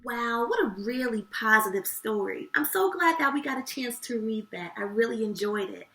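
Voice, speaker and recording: A woman's voice: fairly high pitch, expressive, normal speed, sharp tone. A woman hosting a children's show, chatting to young viewers in an effusive, encouraging way. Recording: echoey room, distant microphone.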